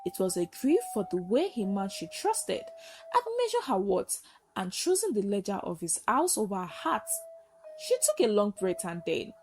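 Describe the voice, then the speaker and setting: A woman narrating a folktale as a storyteller, with earnest, expressive and dramatic delivery. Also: medium pitch, normal speed, faint music behind.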